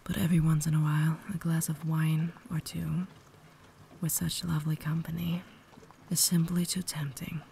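A woman's voice: low voice, little pitch movement, moderate pace, creaky, slight background noise. A woman playing a cultured, caring vampire lady in a refined, whispered ASMR roleplay, holding herself back. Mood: refined, pleasant. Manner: refined, formal, soft-spoken whisper.

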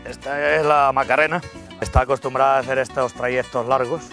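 Gravelly man describing his horse. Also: mid-range voice, fairly even intonation, a little fast, a bit bright, soft background music. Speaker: rider. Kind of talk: casual chat. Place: outdoors on horseback.

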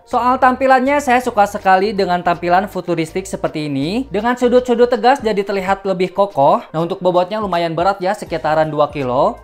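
Man, thirties, gadget reviewer, casual product narration, enthusiastic delivery, soft backing music. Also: sits high. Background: background music.

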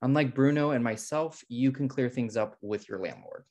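A man's voice: medium pitch, moderate pace, a little mellow, close to an everyday voice. A man playing a smart, fussy, neat-freak twin brother with a dry, prim manner in a comedy table read. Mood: exasperated. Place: home room, video call.

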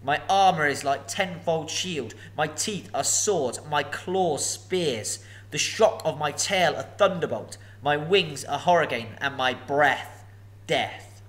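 Guy doing a big old gloating dragon from a storybook, loud and slow, boasting and threatening. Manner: booming, gloating, slow and emphatic.

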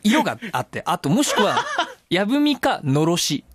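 A man around thirty hosting a casual radio show, chatting in an animated, joking way. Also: sits high, normal speed, husky, no echo. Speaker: podcast host and game music creator. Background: background music.

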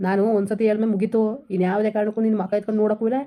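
A stern husband snaps out threats in a rapid, flat delivery, as a woman acts him out. The voice is dark and mellow but the manner is harsh and scolding. It hammers on without pause. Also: mid-range voice, close to an everyday voice. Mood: angry scolding. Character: stern angry husband threatening his wife.

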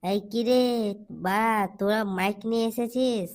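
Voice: medium pitch, steady tone, unhurried, darkish tone, natural voice. A performer voicing a cheeky, slangy village youngster in a comic cartoon.

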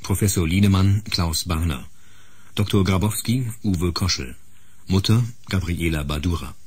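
A man's voice: low voice, moderate pace, slightly rough, no room sound. A man announcing scripted radio drama credits.

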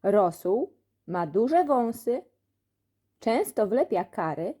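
A woman reading a children's storybook aloud as an expressive audiobook narrator. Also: medium pitch, slow. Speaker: audiobook reader.

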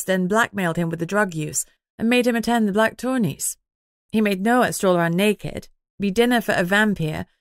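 A woman's voice: medium pitch, dry recording, clean studio sound, natural voice. A woman voicing a frank young heroine in an audiobook, earnest and wry.

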